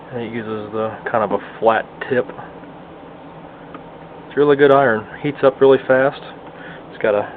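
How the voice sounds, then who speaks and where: Folksy guy walking thru a workshop repair, plain talk, thin phone-like sound w/ clear background hum. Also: mid-range voice. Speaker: hobbyist radio restorer giving a demonstration. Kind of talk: casual hands-on tutorial. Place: indoor home workshop bench. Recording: muffled phone-like audio, loud room hum.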